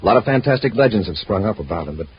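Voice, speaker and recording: An actor playing a brisk, commanding space patrol commander in 1950s radio drama style, authoritative and clipped. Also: male voice, fairly low pitch, animated, breathy edge. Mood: probing. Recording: muffled vintage radio recording.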